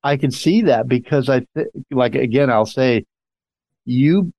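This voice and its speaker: A man's voice: mid-range voice, a little slow, darkish tone. A man hosting a casual interview, enthusiastic and rambling, restarting his sentences as he praises his guest. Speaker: host.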